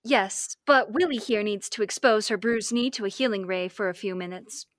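A woman's voice: mid-range voice, normal speed, no room sound, studio quality, natural voice. A young woman playing an alert, caring space lieutenant in a classic radio drama. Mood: concerned.

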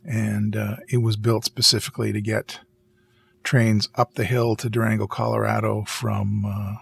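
Man, lowish pitch, gravelly fry, raspy, easygoing podcast chat, pauses to rephrase. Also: flat delivery, slight background noise. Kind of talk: casual conversational podcast chat.

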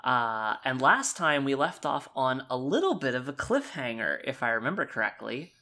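A woman's voice: extremely low pitch, very animated, moderate pace, pushed and bright. A woman co-hosting a tabletop game podcast in casual, joking chat. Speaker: podcast co-host.

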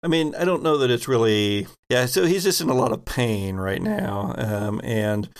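A man narrating a tabletop sci-fi game as game master, descriptive and sometimes halting as he thinks aloud. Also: medium pitch, animated.

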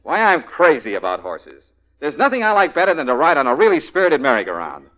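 Wildly animated, blustering radio detective. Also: male voice, fairly high pitch, fast talker, a crowd in the background. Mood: boastful. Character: bluffing, eager male private detective, boastful and flustered. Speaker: radio drama lead actor. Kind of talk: 1940s radio comedy-mystery acting. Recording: muffled old radio recording.

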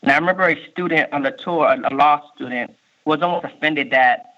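A man in his thirties, born in Haiti and raised in Miami, with a Miami accent, answering interview questions in a halting way, full of fillers and restarts. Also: on the high side, bright, forceful tone. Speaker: state workforce official, guest. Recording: muffled lo-fi recording.

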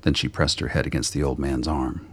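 A man reading scripted dramatic narration for a radio play, with a gravelly, husky voice. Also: a bass voice, steady tone, fairly quick, fairly bright tone.

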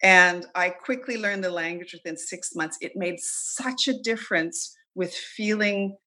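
A woman's voice: fairly low pitch, a little slow, bright, forceful tone. A middle-aged woman from Canada, chatting casually as an interview guest, with frequent ums and easy laughs.

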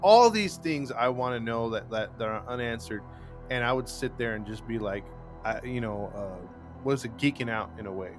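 Excitable stammering man in his forties. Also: mid-range voice, normal speed, over music. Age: 40s. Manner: excitable, stammers and repeats words, gets loud when laughing. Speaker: podcast co-host. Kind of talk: casual live podcast chat.

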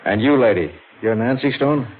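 An actor playing a matter-of-fact, folksy American state police officer with easygoing authority in a 1940s radio drama. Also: male voice, mid-range voice, animated, normal speed, close to an everyday voice. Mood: official. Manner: plain-spoken, easygoing authority. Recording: tinny, noisy old radio recording.

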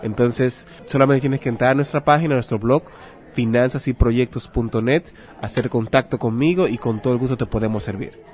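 A man's voice: medium pitch, brisk, a little mellow. A man with a Dominican Spanish accent explaining as an interview guest, in a didactic way with rhetorical questions and examples. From Dominican Republic. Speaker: guest, personal finance adviser.

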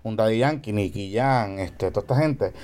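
A man's voice: on the low side, normal speed, a little mellow. A man from Puerto Rico with a Puerto Rican accent, explaining things casually as a podcast guest, with colloquial asides and bits of English slang. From Carolina, Puerto Rico.

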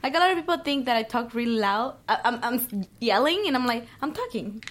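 Bright-voiced talkative woman guest. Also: on the high side, lively intonation, fairly quick. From Honduras. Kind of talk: casual chat.

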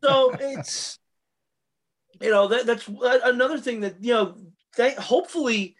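A man co-hosting a podcast, talking casually about screen entertainment in a rambling, halting way that often circles back on itself. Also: extremely high pitch. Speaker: podcast co-host. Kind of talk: casual panel chat about TV and film.

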